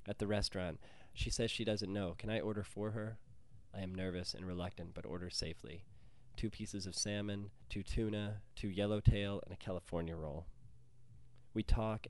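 Male author-reader, low register, scripted short fiction narration. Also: fairly even intonation, moderate pace. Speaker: author reading own short story.

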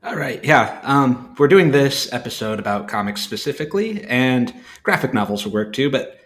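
A man's voice: medium pitch, expressive, normal speed. Rambling, thoughtful man chatting casually. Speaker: podcast co-host. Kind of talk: casual chat.

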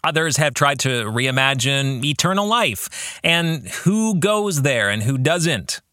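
A man's voice: mid-range voice, sing-song, takes it slowly, bright, forceful tone, dry recording, clean studio sound. A man hosting a podcast, speaking in an earnest, reflective way and often putting rhetorical questions to the listener. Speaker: podcast host. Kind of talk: reflective book discussion addressed to listeners.

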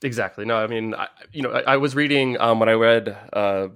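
A man from the Bay Area with an American accent, hosting a casual sports podcast in a halting, rambling, filler-heavy way. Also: mid-range voice, a bit bright, clean tone, no echo, clean studio sound. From San Francisco Bay Area, United States. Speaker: podcast host.

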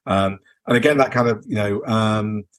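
Man, slightly low voice, casual podcast host, tentative, repeats words while thinking. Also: moderate pace. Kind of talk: casual unscripted chat.

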